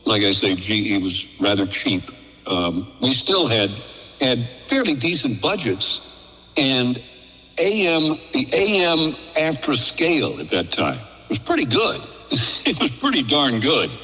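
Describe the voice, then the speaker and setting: Bright-voiced older man reminiscing, long reverb. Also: mid-range voice, very animated, a little slow. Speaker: radio veteran, interview guest. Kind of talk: casual reminiscing chat.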